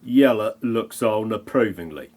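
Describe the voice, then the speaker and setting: A man giving deadpan, mock-grand narration for a comic parody audio play. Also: fairly low pitch, measured pace.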